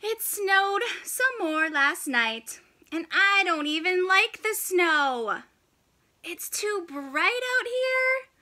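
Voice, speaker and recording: Woman voicing a whiny, grumpy little penguin, high-pitched. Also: animated, slow pace, bright, forceful tone, exaggerated voice. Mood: grumbling. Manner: complaining, exasperated, melodramatic. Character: grumpy, whiny young male penguin full of complaints.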